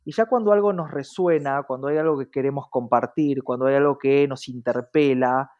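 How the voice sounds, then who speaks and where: A man's voice: medium pitch, normal speed, clear, resonant tone. An Argentine man speaking reflectively as an interview guest, often adding short tag questions to check in, on a dull lo-fi recording. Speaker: podcast guest, diversity professional.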